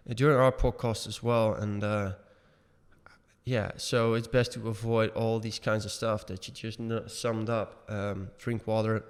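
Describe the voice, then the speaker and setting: A man in his thirties co-hosting a casual podcast over a video call from a room at home, talking haltingly with many fillers and restarts. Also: fairly low pitch, gravelly, in a large hall. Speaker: podcast co-host. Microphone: webcam video call.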